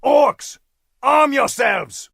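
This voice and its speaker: A man playing a gruff, agitated soldier in a fantasy video game, with a raspy voice. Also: very high-pitched, drawn-out words, fairly bright tone, raised voice, exaggerated voice. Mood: alarmed shouting.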